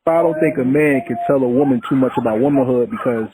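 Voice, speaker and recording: A man's voice: mid-range voice, quick pace, noisy background. A man hosting a hip-hop podcast, asking questions in an earnest, preachy way. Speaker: podcast host. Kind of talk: casual interview.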